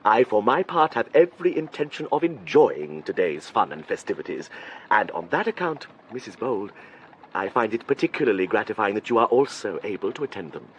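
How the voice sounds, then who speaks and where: A smarmy British clergyman in a period radio play. He is formal and effusively flattering, his pitch rising and falling freely. Faint background ambience sits behind him. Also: a little fast, dull audio, a little hiss or hum. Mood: smooth flattery. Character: unctuous, flattering clergyman suitor, falsely pious. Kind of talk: period radio drama acting.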